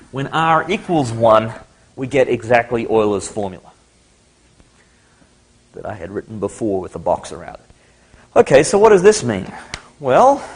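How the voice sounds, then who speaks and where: A man's voice: mid-range voice, a little hiss or hum. Australian man lecturing on maths. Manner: chatty, thinking aloud at the board. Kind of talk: live classroom lecture.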